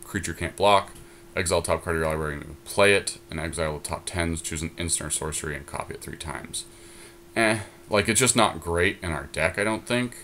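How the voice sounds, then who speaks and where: A man co-hosting a podcast, thinking aloud in casual chat with many pauses and restarts. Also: deep voice, a bit bright, slight background noise. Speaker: podcast co-host. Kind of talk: casual card-draft chat.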